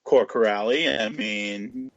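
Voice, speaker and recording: A man's voice: on the low side, takes it slowly, dry recording. A man chatting casually on a hobby podcast, talking through game details in an informal, conversational way. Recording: dull, lo-fi podcast audio.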